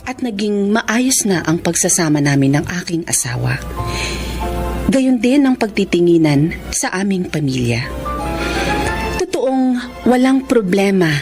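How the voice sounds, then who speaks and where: A woman's voice: medium pitch, sing-song, a little slow, pauses often. An actress playing a betrayed, devout housewife and mother in a Tagalog radio drama, with a Filipino accent and heartfelt, emotive delivery. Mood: calm, reflective. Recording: radio drama with loud music underneath.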